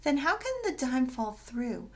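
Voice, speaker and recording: A woman's voice: high-pitched, very animated, a little slow, voice pushed up, heavily acted. Woman putting on a nervous schoolgirl, swooping anxious voice. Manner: anxious, urgent. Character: clumsy, nervous, cautious schoolgirl best friend. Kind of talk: children's story read aloud.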